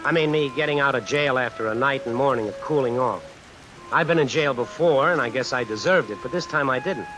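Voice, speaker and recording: An actor playing a brash, suspicious American drifter fresh out of jail, slangy and sardonic, in old-time radio suspense style. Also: male voice, medium pitch, fairly quick, music in the background, natural voice, low-quality recording. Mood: wry, brooding. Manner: slangy, hard-boiled, sardonic. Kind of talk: old-time radio suspense acting with first-person narration. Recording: vintage radio recording with hiss and rain. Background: steady rain sounds added over the broadcast.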